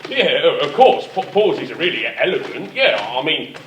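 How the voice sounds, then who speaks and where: Man playing a cocky, high-voiced action-hero actor, echoey. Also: big pitch swings, fairly bright tone. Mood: boastful. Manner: boastful, dramatic pauses. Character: vain, dim, swaggering male action-film actor. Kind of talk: live comic radio theatre parody. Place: theatre stage before live recording. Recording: echoey live stage, distant mic, faint noise.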